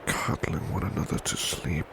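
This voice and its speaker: A man playing a devoted, tender servant in an intimate ASMR roleplay, murmuring sleepily and half whispering, with loud noise behind him. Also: moderate pace, lightly acted. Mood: sleepy, tender. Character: devoted, tender male servant cuddling his beloved. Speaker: ASMR voice actor.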